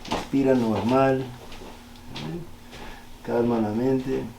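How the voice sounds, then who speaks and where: A man working as a chiropractor, calmly guiding a patient through treatment with short, polite, reassuring phrases. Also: medium pitch, very slow. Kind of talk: calm hands-on treatment instructions to a patient. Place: echoey indoor treatment room. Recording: echoey room with loud background noise.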